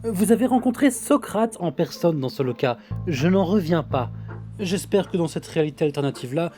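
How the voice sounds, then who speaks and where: A man playing a polite manservant in an audio drama, formal and gently sarcastic with his master. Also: a little high, lively intonation, moderate pace, soft background music, lightly acted. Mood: teasing, curious. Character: polite, teasing manservant and confidant of an adventurer.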